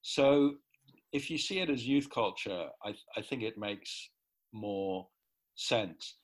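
Low-voiced middle-aged man explaining. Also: slow pace. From grew up in the Netherlands. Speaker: interview guest, football writer. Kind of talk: explanatory interview talk.

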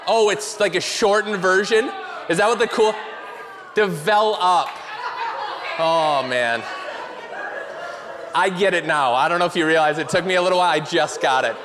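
A man's voice: sits high, normal speed, pauses often, a crowd in the background, background noise. A man hosting a church service from the stage, upbeat and enthusiastic as he introduces a guest. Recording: long reverb of a large hall.

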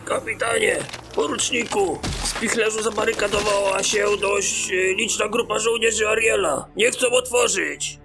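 A man's voice: high-pitched, fairly even intonation, moderate pace, few pauses, sharp tone, soft background music. A man playing an urgent, anxious soldier reporting to officers in a fantasy radio drama. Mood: alarmed, urgent.

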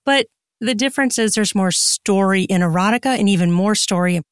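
A woman's voice: medium pitch, brisk, clear, resonant tone, no echo. Casual woman, dry close sound. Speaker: podcast guest, erotica author. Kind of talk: casual interview chat.

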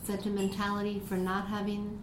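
A woman conducting an interview, gently affirming and echoing her guest's words. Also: mid-range voice, monotone, brisk, noisy background. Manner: gentle, affirming, echoes the speaker. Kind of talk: oral history interview.

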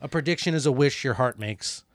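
A man co-hosting a satirical comedy chat, deadpan and mock-serious, often restarting his sentences. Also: mid-range voice, fairly quick, no room sound, clean studio sound. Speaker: podcast co-host.

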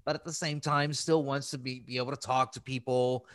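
A man in casual podcast conversation, telling stories in a loose, rambling fashion. His voice sits at a middling pitch and is recorded very dry, with no room around it. He talks informally and swears freely. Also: little pitch movement, a little fast, a bit bright, clean tone, no room sound. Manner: profane, rambling storytelling. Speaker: podcast host.

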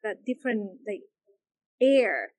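A woman from Peru, a yoga teacher, answering interview questions slowly and with many pauses and fillers. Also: mid-range voice, sing-song, dry recording. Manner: hesitant, searching for words, many fillers.

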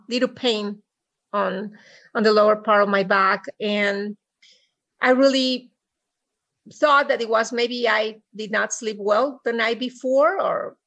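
Mexican-accented middle-aged woman, halting speech. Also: fairly high pitch, fairly bright tone. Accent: Mexican Spanish. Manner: halting, many ums and restarts. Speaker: podcast guest. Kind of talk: personal story in an interview. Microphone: remote call.

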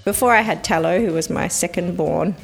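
A woman's voice: on the low side, brisk, creaky, over loud music, poor audio. Aussie woman in her 30s, young sounding voice, chatty guest, does little playful voices when quoting folks. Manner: animated, slips into playful quoted voices. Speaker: children's entertainer guest. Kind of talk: casual interview chat.

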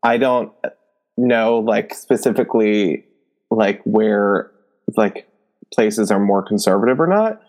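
A man from New Jersey with a New Jersey accent, chatting casually in a blunt, sarcastic way with halting restarts. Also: on the low side, a little mellow. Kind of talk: casual podcast chat.

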